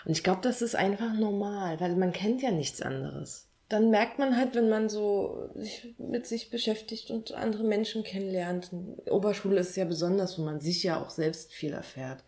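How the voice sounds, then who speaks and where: A woman in her thirties from Berlin co-hosts a relaxed podcast chat. She talks in a bubbly, playful way with a mid-range voice. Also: medium pitch, normal speed, few pauses. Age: 30s. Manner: bubbly, playful, laughs often. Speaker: podcast co-host. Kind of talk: casual chat.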